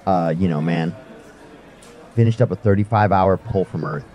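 A man acts a tired space hauler in an audio drama. His voice is low and dark, with a resigned, laconic, grumbling delivery. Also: normal speed, natural voice. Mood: weary. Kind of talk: science fiction audio drama acting.

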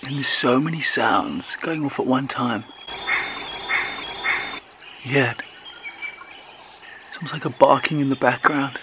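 A man in his thirties, vlogging with excitement while talking to a handheld camera in the jungle and inside a huge cave. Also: medium pitch, takes it slowly, long pauses, background noise. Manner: enthusiastic, excited, talks straight to handheld camera. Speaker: travel vlogger. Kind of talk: casual travel vlog. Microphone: handheld camera at arm's length.

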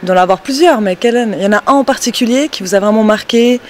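A woman's voice: a little high, fast talker. Woman interviewer, polite, keeps nudging with questions, handheld mic. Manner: polite, prompting. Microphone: handheld mic with windscreen.

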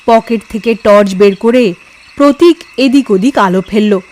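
High-voiced, expressive woman storyteller. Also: a little slow. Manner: expressive, dramatised reading. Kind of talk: scripted ghost story narration.

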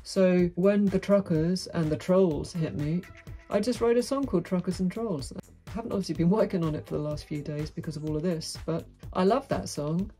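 A woman's voice: normal speed, dark tone, soft background music. A middle-aged woman, talking frankly and wryly to camera in a small dim room. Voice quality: deep voice. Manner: outspoken, frank, wry. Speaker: vlogger. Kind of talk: candid monologue to camera. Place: small dim indoor room with brick wall.

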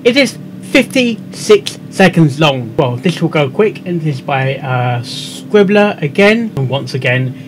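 A young man with an English accent giving casual, halting, rambling reaction commentary as the host of a video. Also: fairly high pitch, very animated. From England. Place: indoor room at a computer. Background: music.

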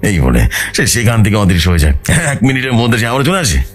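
A man's voice: lowish voice, sing-song, fast, faint music behind. A man narrating a horror story in the first person, in a dramatic storytelling style, as the narrator of an audio story.

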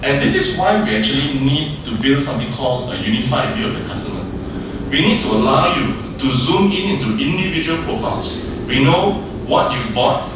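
A man's voice: medium pitch, noisy background. A man in his thirties from Malaysia giving a conference keynote from a lectern, talking with energy and acting out short dialogues. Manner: lively, acts out quick dialogues in the story. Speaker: keynote speaker. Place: large conference hall. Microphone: lectern mic, filmed from far off. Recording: very echoey hall from far off.

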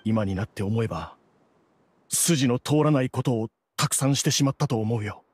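A man's voice: mid-range voice, normal speed, natural voice. Man playing a regretful, mid-voiced ordinary guy. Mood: apologetic. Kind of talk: anime video game voice acting.

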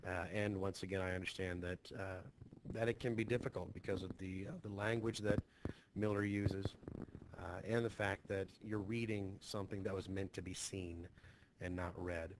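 A man's voice: sits low, steady tone, normal speed. A man speaking as a teacher, giving an informal, conversational and reassuring introduction to a lesson. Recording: noticeably hissy home screen recording.

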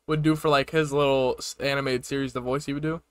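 A man's voice: medium pitch, level tone, fast talker, smooth, dry recording. A young man giving casual, slangy reaction commentary into a close desktop microphone in a small room. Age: young adult. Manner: slangy, informal. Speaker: reaction video streamer. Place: small indoor room at a desk.

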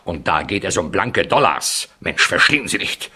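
An actor playing a stern, sardonic Scotland Yard chief inspector in a 1970s radio crime play, dry and authoritative. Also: male voice, low voice, big pitch swings, moderate pace, bright, forceful tone, slightly rough, full character voice. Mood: stern, exasperated.

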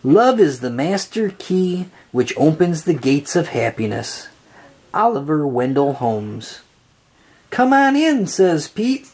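A storybook reader reading a children's picture book aloud in an expressive, sing-song way with drawn-out phrases. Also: medium pitch, slightly rough.

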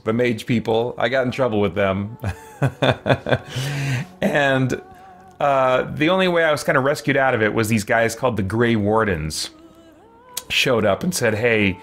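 A man's voice: medium pitch, fairly bright tone. A man in his forties chatting casually as he hosts a game livestream, rambling and self-deprecating. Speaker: streamer, host of a let's play. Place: small room at home.

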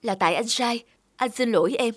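Guilty, pleading cartoon husband. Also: male voice, very high voice, fairly quick, dry recording. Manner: contrite, imploring. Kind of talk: cartoon drama dialogue.

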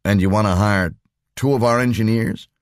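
A man's voice: lowish voice, moderate pace, no room sound, clean studio sound, voice pushed up, lightly acted. A man voicing a polite, puzzled computer company doctor in an audiobook story, businesslike and questioning.

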